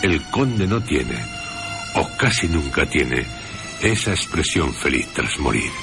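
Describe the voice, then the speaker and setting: Extremely deep-voiced man narrating solemnly. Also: big pitch swings, gravelly. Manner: measured, solemn, with deliberate pauses. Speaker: radio host and narrator. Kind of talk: scripted dramatic narration. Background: music.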